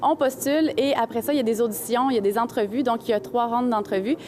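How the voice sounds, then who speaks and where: A young woman from Quebec with a Quebec French accent, answering an interview clearly and poised as a carnival duchess in a shopping mall. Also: on the high side, fairly even intonation, fast talker, a little mellow. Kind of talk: interview in public place. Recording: crowd noise in mall. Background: crowd chatter.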